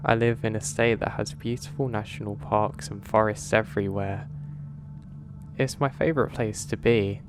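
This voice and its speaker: A man calmly narrating listener-submitted horror stories in a measured, scripted delivery. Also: lowish voice. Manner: calm, measured storytelling delivery.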